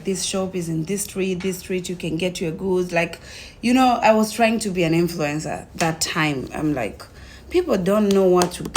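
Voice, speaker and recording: A young woman with a Tanzanian accent tells her own story casually, in an animated way, acting out conversations as she goes. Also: on the low side, slight background noise. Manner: animated retelling, acts out quoted conversations.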